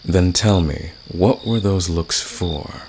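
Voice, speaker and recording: A man's voice: sits low, darkish tone, a little hiss or hum. A man playing a smug, teasing fantasy villain who flirts in an intimate ASMR roleplay monologue. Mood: flirtatious, challenging. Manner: teasing, probing, drawn-out pauses.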